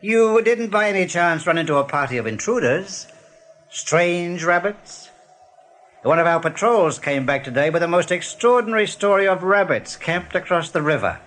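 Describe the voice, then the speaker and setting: Sly rabbit general, highish voice, very animated delivery, probing, menacingly polite, radio drama. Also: male voice. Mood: silkily suspicious. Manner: insinuating, measured questioning. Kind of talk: radio play acting.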